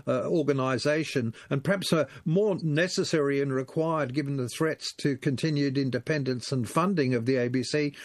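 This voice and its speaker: A man's voice: medium pitch, moderate pace, hardly any pauses, no echo, studio quality. A man hosting a community radio interview, asking long wordy questions with many fillers.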